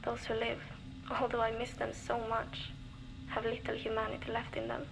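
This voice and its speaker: A woman's voice: on the high side, completely flat delivery, normal speed, creaky. An actress playing a young, lonely, worn-down woman surviving alone in a frozen wasteland, speaking wearily and bluntly in a game's audio diary, with dry humour and frequent swearing. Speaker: game character recording audio diaries. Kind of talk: scripted voice acting as a diary log. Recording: noisy cassette tape recording.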